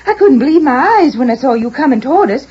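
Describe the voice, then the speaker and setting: Casting: sensible young Western woman, earnest, high voice, muffled vintage radio drama. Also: animated, quick pace, slightly rough. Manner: earnest, plain-spoken. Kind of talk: 1940s Western radio acting. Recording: muffled, faintly noisy 1940s radio recording.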